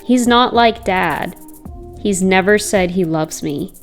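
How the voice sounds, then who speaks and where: A woman playing a determined, guarded young heroine, narrating a comic drama in an earnest, wry, measured manner. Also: medium pitch. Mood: pensive, determined. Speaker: comic dub voice actor. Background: background music.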